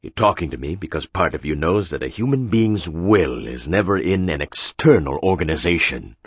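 An actor playing a commanding, cryptic magician-tycoon with a husky voice and emphatic, deliberate delivery in audiobook dialogue. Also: male voice, sits low, animated, no room sound, clean studio sound. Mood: persuasive.

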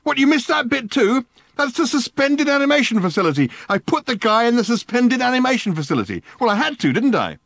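A man's voice: very animated, a little fast, creaky, dry recording, full character voice. A man doing a manic, ranting alien traveller. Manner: shouting, high and manic. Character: frantic ranting male alien traveller, excitable.

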